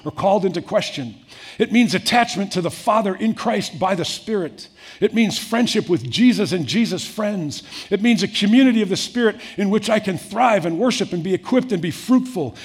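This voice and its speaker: An older man preaching a sermon in an earnest way, rising to emphatic peaks and then dropping soft. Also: fairly high pitch, slightly rough. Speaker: pastor.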